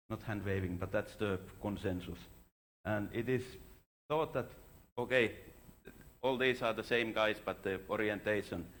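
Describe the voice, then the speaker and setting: A man giving an informal scientific conference talk as a researcher, speaking haltingly and often correcting himself mid-sentence. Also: lowish voice, creaky, a bit bright. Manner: halting, frequently self-correcting, informal phrasing.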